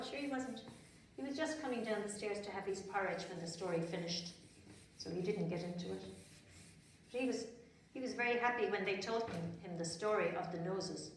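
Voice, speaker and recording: An Irish-accented woman telling stories to young children in simple, repetitive phrases with chatty asides. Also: mid-range voice. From Ireland. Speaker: children's storyteller. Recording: very echoey room, distant mic, faint noise.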